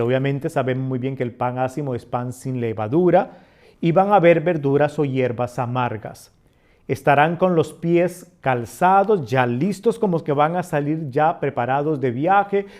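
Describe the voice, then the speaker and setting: A man's voice: mid-range voice, darkish tone. A middle-aged man from Costa Rica with a Costa Rican Spanish accent, reading scripture aloud and then explaining it as a teacher, with his voice swelling on key points. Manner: reads passages slowly, then explains with swelling emphasis.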